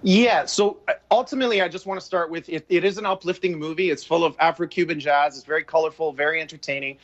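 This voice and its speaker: A Canadian man in his forties talks reflectively over a video call from home, circling back and restarting his thoughts. His voice sits a little high for a man. The sound is dull and lo-fi. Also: steady tone, quick pace, fairly bright tone. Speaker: film director guest. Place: home room with shelves.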